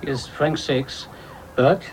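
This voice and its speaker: A man's voice: medium pitch, takes it slowly, slight background noise, lightly acted. A man acting a suspicious, restless gag writer in a German radio drama, speaking in terse, questioning phrases. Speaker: actor.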